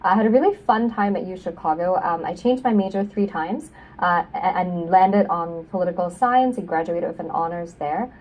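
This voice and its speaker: Woman from Singapore, young adult, consultant presenting online, low voice, a bit far from the mic. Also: moderate pace, hardly any pauses, lo-fi sound. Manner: friendly, many fillers like um and you know. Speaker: admissions consultant. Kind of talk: webinar presentation.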